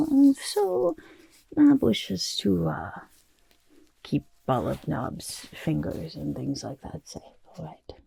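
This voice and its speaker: A gravelly, breathy goblin portrait artist, speaks slowly in a raspy half-whisper for an ASMR roleplay. The creature is vain and fussy, flattering the listener with pompous, careful phrases in a very husky voice. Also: female voice, low-pitched, full character voice. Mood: wary, polite. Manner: third-person self-talk, hesitant pompous flattery. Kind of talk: ASMR roleplay, soft personal attention.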